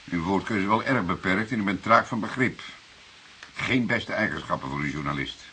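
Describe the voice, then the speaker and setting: An actor playing a senior police detective in a Dutch radio drama, deliberate, formal and dryly sardonic, with unhurried authority. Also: male voice, deep voice, sharp tone. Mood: stern, sardonic. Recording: hissy old radio play recording.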